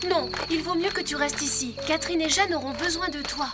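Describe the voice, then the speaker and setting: A sweet, earnest cartoon girl voiced by a woman, very high-pitched, brave and kind. Music plays clearly behind her. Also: fairly quick, close to an everyday voice. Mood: gentle, reassuring. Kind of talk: dubbed children's cartoon acting.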